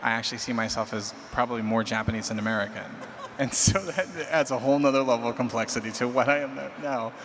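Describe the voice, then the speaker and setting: A man's voice: mid-range voice, fairly even intonation, quick pace, a bit bright. A man on a conference panel, talking casually and a bit rambling, with some laughter, into a handheld mic. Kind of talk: panel discussion. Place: large conference hall stage. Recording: hall reverb, clear crowd-room noise.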